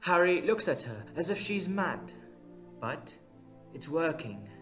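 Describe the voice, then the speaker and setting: A man's voice: fairly high pitch, sing-song, slow, a bit bright, faint music behind. A man giving dramatic scripted narration for an audio drama.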